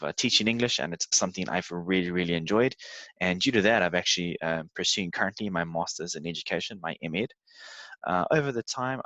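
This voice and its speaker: A man from South Africa speaks with a very low voice, close and dry on a computer mic. He presents his tutoring services in a relaxed, conversational way, scattering filler words as he shares his screen. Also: dry recording, highs cut off. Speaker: English tutor.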